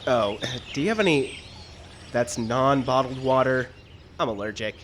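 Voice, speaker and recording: A man acting a fussy screenwriter in a live radio comedy. He is middle-pitched, plaintive and deadpan, forever suspicious and put upon. Outdoor noise and birds sit behind him. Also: moderate pace, lightly acted. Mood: fussy, groggy. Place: outdoor park stage. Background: birds and outdoor ambience.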